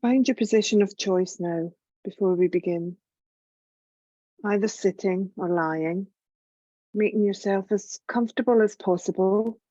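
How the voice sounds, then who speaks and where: Soft mellow woman guiding meditation. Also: mid-range voice, dry recording, muffled recording. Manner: gentle, calm, soothing, measured with long pauses. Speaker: yoga nidra meditation teacher. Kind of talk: slow guided relaxation meditation narration.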